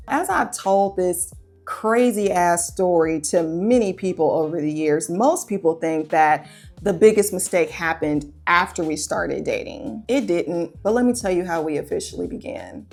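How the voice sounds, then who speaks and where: A woman's voice: fairly low pitch, measured pace, vocal fry, a little mellow, faint music behind. A woman relationship coach telling a personal story in a conversational, confessional way, often putting questions to her listeners.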